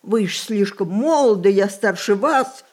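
A woman's voice: medium pitch, lively intonation, unhurried, darkish tone. A very old matriarch in a radio play, full of spirit and rebellion. She is imperious and theatrical, commanding and exclaiming with romantic fervour. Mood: indignant, scornful. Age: older. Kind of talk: stage-style radio play acting.

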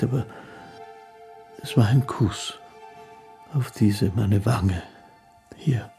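An actor playing a meek, dreamy poor musician, telling his life haltingly and earnestly in a radio play. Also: lowish voice, creaky, a little mellow, slightly rough, exaggerated voice. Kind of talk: first-person radio play narration.

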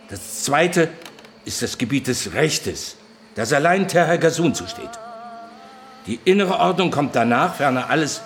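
An actor playing a methodical man at a war council in a radio play, deliberate and pausing weightily. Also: medium pitch, a little hiss or hum, lightly acted. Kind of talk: German radio play acting.

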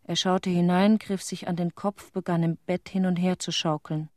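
An actress playing a tender, haunted middle-aged widow in a radio drama monologue, speaking in measured, restrained short sentences with pauses. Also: female voice, lowish voice, flat delivery, darkish tone, no echo, studio quality, lightly acted. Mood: sombre, restrained. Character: grieving widow of a Chernobyl victim, tender, haunted.

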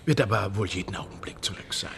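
A man's voice: lowish voice, quick pace, vocal fry, sharp tone, slight background noise. An actor playing a deferential theatre doorman in a German radio drama, servile and clipped. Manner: servile, clipped, says sir a lot.

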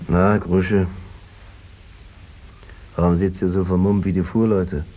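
Sly hesitant farmer brother, really deep soft-edged voice, old fifties radio play, bit of noise. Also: male voice, little pitch movement, takes it slowly. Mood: evasive, uneasy. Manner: hesitant, roundabout, cajoling. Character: evasive, henpecked peasant brother nudging his sister out. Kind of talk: 1950s German stage-style radio drama acting. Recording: noisy old 1950s radio recording.

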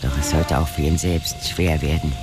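An actor as the grave, suspenseful narrator of a scripted fantasy radio drama. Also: male voice, extremely low pitch, steady tone, normal speed. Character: grave, suspenseful male storyteller. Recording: loud music behind the voice.

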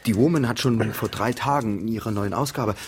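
An actor playing a meek newspaper office underling in a radio drama, speaking hesitantly and apologetically. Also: male voice, on the low side, fairly quick, breathy edge. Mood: sheepish. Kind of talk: German radio drama acting.

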